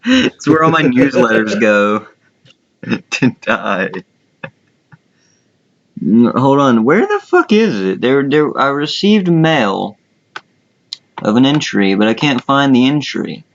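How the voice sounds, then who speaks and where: Slow-talking man, dull lo-fi sound. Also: mid-range voice, sing-song, a little hiss or hum. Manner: crude, swearing. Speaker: contest host. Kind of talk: casual chat, commentary. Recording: dull lo-fi voice chat audio.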